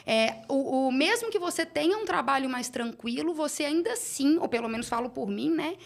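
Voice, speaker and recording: A woman's voice: sits high, expressive, a little fast, vocal fry. Chatty woman from Belo Horizonte. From Belo Horizonte, Brazil. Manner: chatty, thinks aloud with many fillers. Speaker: podcast guest. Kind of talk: casual chat.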